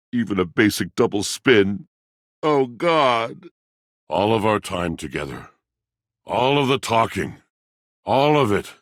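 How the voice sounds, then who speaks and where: An actor playing a proud, melancholy, antiquated washing machine in a video game, speaking in a theatrical, formal, brooding way. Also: male voice, dry recording, clean studio sound. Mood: indignant anguish, then bitter lament. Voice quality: very deep, husky. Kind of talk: video game character voice acting.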